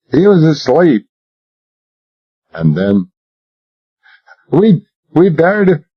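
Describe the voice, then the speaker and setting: A desperate male captive, as a male narrator puts him on, screaming high and stammering as he chokes on foul air. His voice is husky and swoops wildly, and the dull lo-fi recording flattens it. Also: exaggerated voice. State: choking, out of breath. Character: terrified man begging for his life.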